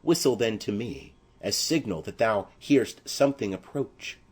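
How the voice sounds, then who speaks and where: A man's voice: fairly low pitch, animated, a little slow, breathy edge. A man playing a proud, mournful young noble who declaims formal verse in a tragedy audiobook. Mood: commanding. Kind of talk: classical tragedy audiobook acting.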